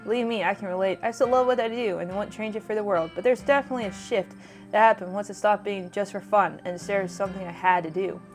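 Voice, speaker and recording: A woman's voice: medium pitch, fast, faint music behind, close to an everyday voice. A woman reading a visual novel's friendly small-town woman, chatty, curious and earnest. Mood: earnest, warm.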